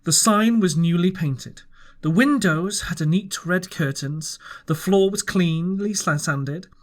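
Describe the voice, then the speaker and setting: A man's voice: high-pitched, measured pace. A man narrating a classic novel as an audiobook, reading in the first person with earnest expression and occasional restarts. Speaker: audiobook reader.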